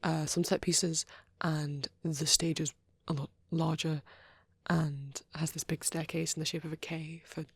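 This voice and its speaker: A woman with a low, husky voice speaks softly and close to the microphone in a very dry recording. She rambles in an ASMR style, shy and hesitant, often sinking into a breathy half-whisper. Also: level tone, a little slow, no room sound. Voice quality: soft, breathy. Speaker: ASMR roleplay creator. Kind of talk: soft rambling ASMR chat.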